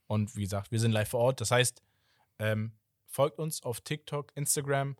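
A young man co-hosts a casual football podcast, hesitating often with ums and uhs as he previews games and promotes the show. His voice has a mid pitch and is recorded dry and close. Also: normal speed, no echo. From Portuguese background. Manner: hesitant, many fillers, plugs the show. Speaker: podcast co-host.

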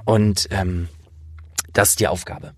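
A man's voice: sits low, steady tone, slow, husky, no echo. A man hosting an interview, explaining things informally and thinking aloud as he goes. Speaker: interviewer and host. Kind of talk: casual conversational explaining.

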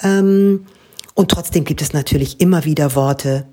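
Husky low-voiced woman translator. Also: moderate pace, warm tone. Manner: thoughtful, explains at length with frequent hesitations. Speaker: book translator interviewed by students. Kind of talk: explaining her work in a school podcast interview.

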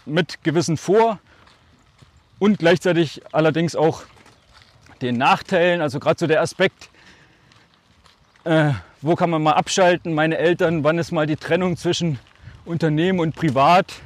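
A man in his forties from Germany with a northern German accent with a slight Swabian touch, talking as a podcast guest in a reflective, storytelling way. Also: fairly high pitch. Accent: Altmark, northern Germany, slightly Swabian. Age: 40s. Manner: reflective, speaks in short phrases, often draws words out. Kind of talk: casual storytelling.

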